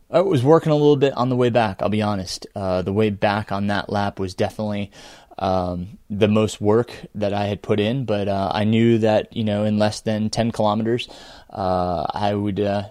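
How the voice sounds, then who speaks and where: Halting, low-pitched man reflecting aloud. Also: darkish tone, dry recording, clean studio sound. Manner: halting, hesitant, with frequent filled pauses and small chuckles. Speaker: podcast host and amateur ultrarunner. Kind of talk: reflective unscripted audio-journal monologue.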